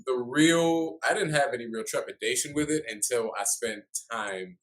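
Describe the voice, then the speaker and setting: A man co-hosting a podcast, speaking thoughtfully with frequent pauses and restarts. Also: mid-range voice, a bit bright. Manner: thoughtful, hesitant with many "um"s and restarts. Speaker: podcast co-host. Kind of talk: casual conversational interview.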